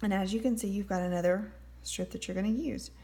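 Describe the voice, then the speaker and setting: A woman's voice: medium pitch, fast, dark tone. A woman giving a casual step-by-step manicure tutorial, explaining each step with frequent ums. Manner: friendly step-by-step instructions with frequent fillers. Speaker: nail product demonstrator.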